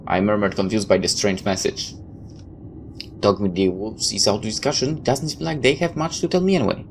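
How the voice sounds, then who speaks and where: A man's voice: on the low side, a little fast. A man reading a visual novel aloud like a dramatic storyteller, tossing in his own asides. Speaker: let's player. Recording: clear background noise.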